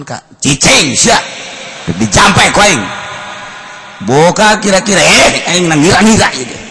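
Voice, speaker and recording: An older man with a Sundanese accent giving a humorous sermon, telling animated stories, breaking into laughter and shouts. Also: on the high side, slight background noise. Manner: animated storytelling, shouting and laughing at his own jokes. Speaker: Islamic preacher.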